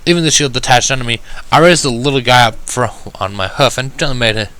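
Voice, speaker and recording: A man narrating a first-person story in an audiobook style, reading in a measured, wry manner. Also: mid-range voice, pushed and bright, smooth. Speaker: fan fiction reader.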